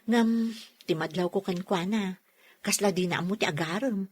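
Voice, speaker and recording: A woman's voice: medium pitch, moderate pace, breathy edge, natural voice. A woman with an Ilocano accent playing a chatty, teasing young friend in an audio drama. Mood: gossipy, confiding. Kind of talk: radio drama acting in Ilocano.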